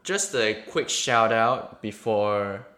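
A podcast co-host speaking in a soft, hesitant way with fillers. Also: mid-range voice, lively intonation, slow pace. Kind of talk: casual podcast chat.

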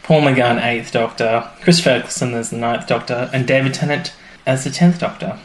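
A man's voice: mid-range voice, fairly even intonation, normal speed. Male fan-podcast host, mid-range voice, chatty and eager, informal phrasing, roomy sound. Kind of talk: casual banter about a sci-fi series. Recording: roomy echo, faint music behind.

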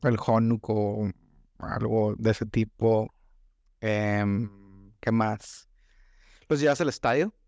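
A man's voice: fairly low pitch, fairly even intonation, takes it slowly, pauses often, a little mellow, dry recording, studio quality. A young man with a Monterrey accent, co-hosting a casual podcast chat in rambling slang. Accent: northern Mexican (Monterrey). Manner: slangy, rambling, says "güey" constantly. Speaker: podcast co-host.

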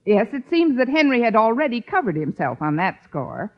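Lively, wry schoolteacher in radio comedy. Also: female voice, a little high, normal speed. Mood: sardonic, worried. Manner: dry, sardonic wisecracking. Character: wry, quick-witted woman schoolteacher with a landlady. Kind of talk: old-time radio situation comedy acting. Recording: dull vintage radio recording.

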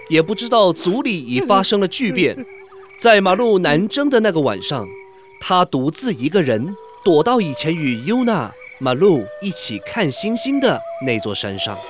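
High-pitched, animated male storyteller. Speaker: narrator. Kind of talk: dramatic scripted storytelling narration.

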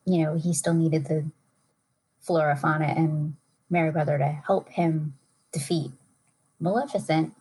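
Very husky, lowish-voiced woman in casual chat. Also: steady tone, unhurried, pauses often, darkish tone, poor audio. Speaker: podcast guest, a parent.

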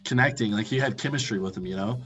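Low-voiced man hosting casual sports talk. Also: quick pace, fairly bright tone. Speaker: sports podcast host. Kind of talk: casual sports debate among friends. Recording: slightly dull audio, faint music underneath.

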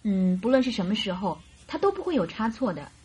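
A woman's voice: mid-range voice, sing-song, a little fast, a little mellow, breathy edge. An actress playing a cheerful, chatty young woman typist in a radio drama, girlish and emphatic. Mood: explaining, lively. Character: plump, cheerful, chatty young female typist in her early twenties.

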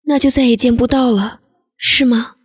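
A woman playing a shy, lovelorn high-school girl in an audio drama, tentative and plaintive. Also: fairly even intonation, moderate pace, slightly rough. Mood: pleading.

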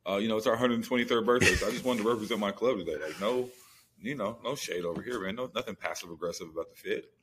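A man's voice: fairly low pitch, fast talker, background noise. A man co-hosting a sports podcast, giving casual analysis in slangy, informal speech with repeated phrases.

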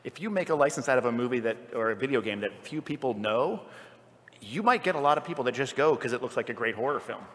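A man talks quickly on a live panel, reasoning through his points in an analytical, casual style. His voice sits in a middle range and rings in a large hall. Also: mid-range voice, lively intonation. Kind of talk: casual argumentative panel discussion.

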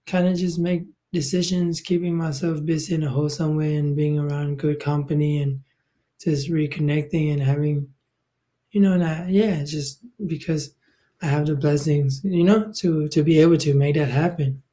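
A young man from Thailand, talking loosely and casually as a podcast guest, full of fillers and restarts. His voice is mellow and dark, and his pitch stays quite flat. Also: moderate pace. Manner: rambling, laid-back, many fillers and restarts. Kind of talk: casual conversational interview.

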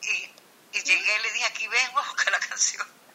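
A woman's voice: mid-range voice, brisk, sharp tone, slightly rough, low-quality recording. A woman singer from Venezuela telling a long story in a casual online chat, full of restarts and fillers. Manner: rambling, many restarts and fillers.

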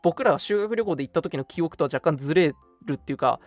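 A young man hosting a radio show, chatting casually and reminiscing in an animated storytelling way. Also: on the high side, fast talker, dry recording, faint music behind. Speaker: radio talk show host.

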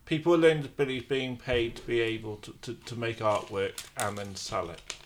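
A man hosting a podcast, chatting casually, laughing often and speaking haltingly. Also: mid-range voice, steady tone, a little hiss or hum. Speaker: podcast host.

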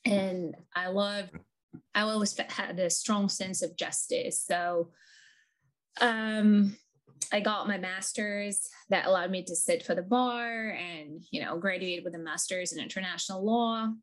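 A woman's voice: medium pitch, a little slow. A woman from Ukraine with a Ukrainian accent, a lawyer telling her story in a conversational interview, thoughtful with frequent ums.

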